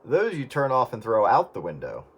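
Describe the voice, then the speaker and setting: A man's voice: medium pitch, huge pitch swings, moderate pace. A man chatting casually as an amateur radio operator over the air. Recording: very noisy radio transmission.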